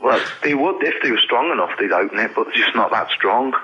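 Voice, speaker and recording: A man's voice: mid-range voice, animated, brisk, pushed and bright, slightly rough. A man answering casual interview questions as an animal expert, plain-spoken and matter-of-fact. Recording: dull lo-fi interview audio.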